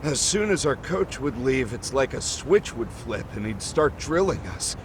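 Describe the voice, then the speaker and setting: A man's voice: mid-range voice, big pitch swings, measured pace, noisy background. An actor playing an easily cowed young male student delinquent in a video game, talking in casual slang. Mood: complaining. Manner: casual slangy youthful talk.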